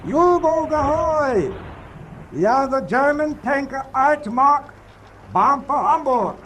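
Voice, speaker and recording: An actor playing a smooth-talking German tanker officer in a radio drama, hailing loudly and deliberately across water. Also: male voice, very high voice, lively intonation, a little hiss or hum, full character voice. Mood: composed, hailing.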